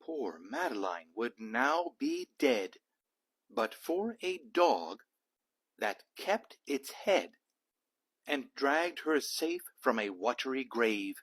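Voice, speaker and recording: Male read-aloud storyteller, a dad: husky edge, mid pitch, unhurried rhythmic phrasing for children's books. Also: big pitch swings, a bit bright. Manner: measured, rhythmic rhyming delivery. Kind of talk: children's picture book narration.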